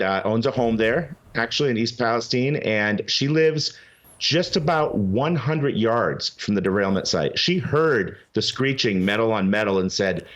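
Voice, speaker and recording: A man's voice: on the low side, a bit bright. A man appearing as a guest on a talk show, speaking earnestly about a legal case and often restarting his sentences. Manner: earnest, many fillers and restarts. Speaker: guest, head of a legal advocacy group. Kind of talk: interview over a remote line. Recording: dull, lo-fi remote line.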